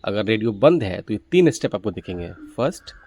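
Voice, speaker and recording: A man's voice: on the low side, sing-song, a little fast, darkish tone. A man hosting a gadget review, explaining things methodically, step by step. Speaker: gadget reviewer, video host. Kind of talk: product review walkthrough, explanatory.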